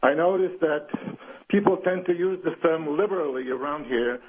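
Stern caller on phone. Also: male voice, on the high side, normal speed, slightly rough. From Poland. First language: Polish. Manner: stern, formal. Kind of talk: call-in radio complaint. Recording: tinny phone line.

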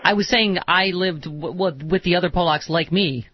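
A woman hosting a talk radio show, playful and quick to laugh, on slightly dull radio audio. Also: lowish voice, breathy edge. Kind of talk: casual talk radio banter.

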